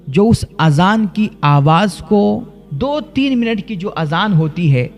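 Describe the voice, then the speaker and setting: A man from India preaches in an earnest, emphatic way, leaning on rhetorical questions and deliberate repetition. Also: sits high, a little mellow. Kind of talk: rhetorical religious sermon.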